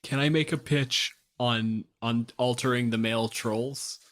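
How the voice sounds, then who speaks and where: A man's voice: medium pitch, fairly even intonation, measured pace, fairly bright tone. A man with a mid-range voice chats casually as a podcast host. The sound is very dry, with no room echo. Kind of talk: casual chat.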